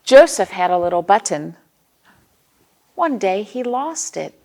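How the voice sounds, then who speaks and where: A woman's voice: mid-range voice, sing-song, measured pace, a little mellow. An American woman hosting a storytime for young children, reading aloud expressively and explaining things simply with questions to her listeners. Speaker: children's librarian, storytime host.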